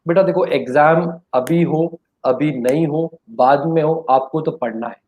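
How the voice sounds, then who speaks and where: A man with a somewhat high voice talks in a casual discussion about exams. He is impassioned and keeps appealing for fair treatment of students. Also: measured pace, a little mellow, low-quality recording. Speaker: exam coaching teacher. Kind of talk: casual chat.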